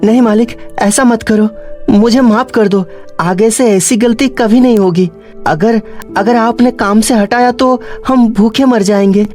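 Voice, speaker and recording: A woman's voice: fairly high pitch, a little slow, warm tone, faint music behind, natural voice. A woman voicing a kind, humble working boy, an earnest older brother in a cartoon story. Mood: pleading.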